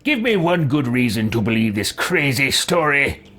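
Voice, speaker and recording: Man acting grumbling adventurer, fantasy game, theatrical delivery, brash temper, very lively intonation. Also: mid-range voice, normal speed. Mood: suspicious, impatient. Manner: theatrical, declaiming.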